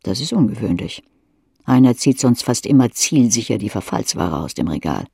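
A woman narrates an audiobook in a husky, breathy voice. The sound is dry and close, and her dramatic delivery moves between murmurs and sharper emphasis. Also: rumbling low, sing-song, normal speed, mellow, no echo. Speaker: audiobook reader. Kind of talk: scripted audiobook narration in second person.

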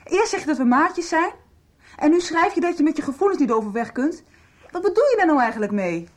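Radio soap role: jealous, blunt teenage girl, very high voice, animated delivery. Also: brisk. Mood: confused, pressing. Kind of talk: Dutch radio soap acting.

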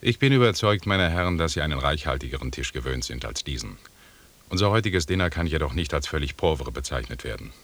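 Ein Schauspieler spielt in einem Hörspiel einen selbstsicheren, pompösen englischen Expeditionsleiter, förmlich und belehrend. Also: male voice, very deep voice, very animated, fairly quick, a bit bright, slight background noise, exaggerated voice. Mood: grandly formal. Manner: pompous, lecturing. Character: self-assured, pompous English expedition leader, a knighted gentleman. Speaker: actor in a radio drama. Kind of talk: formal radio drama dialogue.

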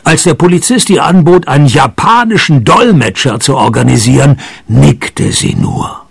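An actor playing a wry private detective in a comic radio play, with a dry, sarcastic, creaky delivery. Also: male voice, fairly high pitch, expressive, moderate pace, exaggerated voice. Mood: dryly narrating.

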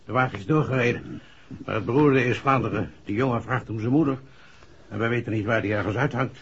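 An actor playing a brisk, matter-of-fact senior police official in a 1960s radio drama. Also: male voice, medium pitch, husky. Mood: grave, brisk. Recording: muffled old 1960s radio recording.

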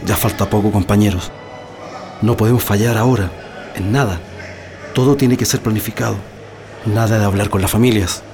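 An actor playing a calm, methodical leader of political prisoners in a radio drama, with a Chilean accent and a measured, reassuring delivery. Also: male voice, fairly low pitch, natural voice. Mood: methodical, firm. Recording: music behind the voice.

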